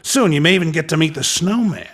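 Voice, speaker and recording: A man voicing a slick, pushy underground race recruiter in a video game, with a raspy voice and cocky, insinuating salesman patter. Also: a little high, expressive, fast, a bit bright. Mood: excited approval. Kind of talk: video game character dialogue.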